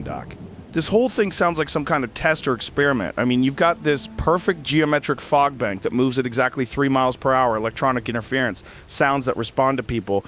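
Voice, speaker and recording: A man playing an excitable radio host in a scripted drama, pressing with emphatic, slangy, incredulous questions. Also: medium pitch, slight background noise. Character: excitable male talk radio host probing conspiracy theories.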